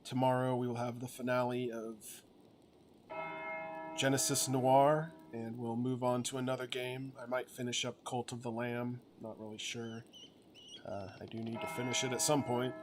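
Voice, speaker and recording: A man's voice: mid-range voice, flat delivery, moderate pace, over music. Casual man hosting a game playthrough. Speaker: gaming channel host.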